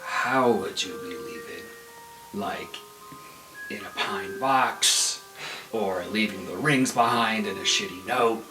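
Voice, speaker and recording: Guarded young man acted by a male player, bright edge, unhurried, improvised fantasy game, slightly distant miking. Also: lowish voice, echoey room, soft background music. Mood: guarded, dry. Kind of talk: improvised tabletop roleplay.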